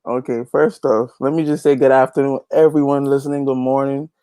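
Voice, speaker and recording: A man's voice: mid-range voice, steady tone, a little fast, warm tone, clean tone, no echo. A man with a Guyanese accent talks casually as a guest in an interview. He is easygoing and folksy, with a mid-range voice. The sound is dry and close. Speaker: interview guest, gospel reggae artist. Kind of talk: casual chat.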